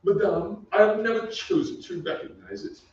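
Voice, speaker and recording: Distant echoey stage, ancient noble ghost, high voice, pompous, mournful, archaic radio-play delivery. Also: male voice, expressive, moderate pace, darkish tone, lo-fi sound. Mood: haughty, indignant. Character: pompous, melancholy centuries-old male aristocratic English ghost. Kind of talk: old-time radio drama acting. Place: on stage in a theatre.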